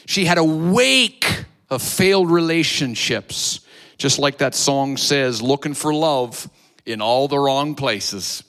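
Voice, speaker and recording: Pastor, male, slow bright delivery, loud preaching voice that rises and falls. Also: medium pitch, lively intonation. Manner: loud voice, preaching with swells and drops; sings hymn lines softly, repeats phrases. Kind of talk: sermon.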